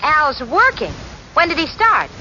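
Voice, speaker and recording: An actress playing a sensible, wry secretary in 1940s radio comedy, with a crisp, wisecracking manner. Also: female voice, high-pitched, huge pitch swings, unhurried, sharp tone, full character voice. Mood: dry, teasing. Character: sensible, wry working-girl secretary and roommate. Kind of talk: 1940s radio situation comedy acting and narration. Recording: muffled, noisy 1940s radio recording.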